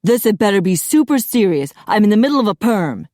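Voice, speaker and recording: A woman's voice: fairly high pitch, big pitch swings, measured pace, darkish tone, no room sound, studio quality, pitched above the performer's own voice. A woman voicing a flamboyant, fussy young male hair stylist in an audiobook, camp, dramatic and effusive.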